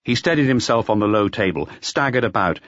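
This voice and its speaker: A man's voice: on the low side, animated, fairly quick, a bit bright, no room sound, muffled recording. A man reading a mystery audiobook as a scripted narration. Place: close, dry recording.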